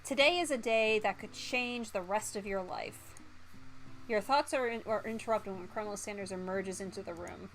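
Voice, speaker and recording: A woman livestreamer reading a visual novel aloud in a theatrical, giggly, playful way. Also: medium pitch. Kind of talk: playful game streaming, reading story narration aloud. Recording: music behind the voice. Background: music.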